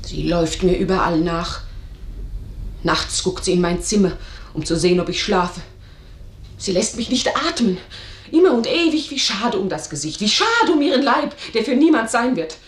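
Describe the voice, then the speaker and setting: A woman's voice: mid-range voice, lively intonation, moderate pace, natural voice. An actress in a German radio drama playing a passionate young woman, impatient and fiercely defiant. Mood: defensive, passionate. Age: young adult. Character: defiant, passionate young daughter of a strict household. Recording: roomy, echoing radio drama recording.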